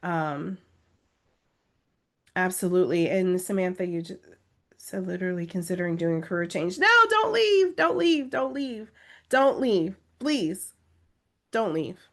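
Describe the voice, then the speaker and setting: A woman's voice: fairly low pitch, big pitch swings, a little slow, long pauses. Woman, test-prep coach, encouraging, emphatic, reads chat comments aloud, video call. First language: English. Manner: encouraging, pleading, emphatic repetition. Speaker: test-prep coach running a live webinar. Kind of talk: live webinar talk, reading chat comments aloud.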